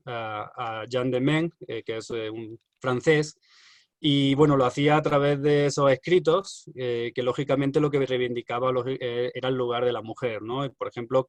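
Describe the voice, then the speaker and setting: A man's voice: mid-range voice, no echo. Man lecturing, hesitant. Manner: hesitant, many fillers and restarts. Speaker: university lecturer. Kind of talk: online lecture with questions.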